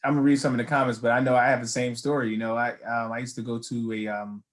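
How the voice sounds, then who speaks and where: A man from the United States hosting a casual faith chat, reading viewer comments aloud and speaking haltingly. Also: medium pitch, level tone. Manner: hesitant, many fillers and restarts.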